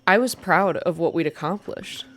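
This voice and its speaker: Low-pitched woman reading a story, ASMR style. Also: moderate pace. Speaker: reader.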